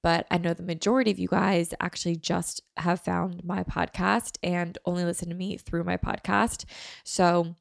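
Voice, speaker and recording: Young woman podcaster w a head cold, nasal, low-ish voice w fry, rambly chatty talk. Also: level tone, normal speed, dry recording, clean studio sound. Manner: chatty, many fillers. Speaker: podcast host. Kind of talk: casual solo podcast chat.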